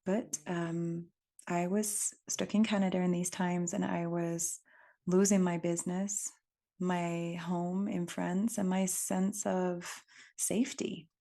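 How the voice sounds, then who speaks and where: Soft-spoken English woman with gravelly voice. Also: lowish voice, little pitch movement. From England. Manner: gentle, unhurried, contemplative. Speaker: podcast host. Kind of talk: reflective solo podcast monologue.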